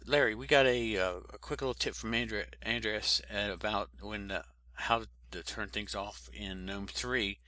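A deep-voiced man co-hosting a tech podcast, his tone bright and forceful. He talks haltingly, stopping and restarting his sentences. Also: steady tone, dry recording. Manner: halting, many fillers and restarts. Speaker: podcast co-host. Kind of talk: casual tech podcast chat.

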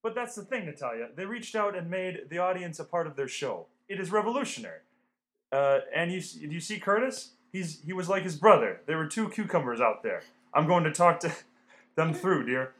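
A man playing a smooth-talking, scheming talent agent in an old-time radio comedy, with folksy salesman patter. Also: fairly high pitch, very animated, a little fast, a bit bright. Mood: scheming enthusiasm.

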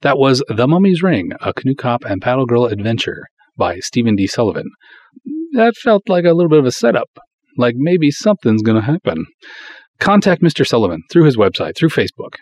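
A man's voice: medium pitch, darkish tone. A man hosting a podcast, chatting to listeners and promoting projects in a direct, informal style. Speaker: podcast host.

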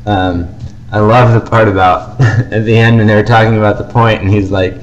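A man co-hosting a podcast, giving casual, measured reviews in a conversational, hedging way. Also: lowish voice, fairly even intonation, highs cut off. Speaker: podcast co-host.

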